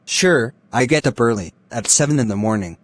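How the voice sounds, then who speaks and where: A man playing a courteous, easygoing young film star in a scripted cartoon dialogue for English learners, speaking in a deliberate way. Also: mid-range voice, expressive, no room sound, lightly acted. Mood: pleasant, courteous.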